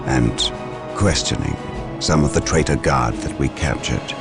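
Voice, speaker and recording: An actor playing a sage, measured warrior-mystic in a video game, giving counsel in a formal, portentous, probing manner. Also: male voice, rumbling low, vocal fry, over loud music, full character voice. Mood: solemn, probing. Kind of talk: dramatic video game acting.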